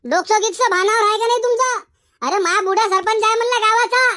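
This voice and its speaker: A man voicing a cheeky, boastful village schoolboy in a comedy cartoon, arguing in a Varhadi Marathi accent through a pitched-up effect. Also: a little fast, pushed and bright. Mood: indignant protest. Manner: argumentative, indignant, backtalking.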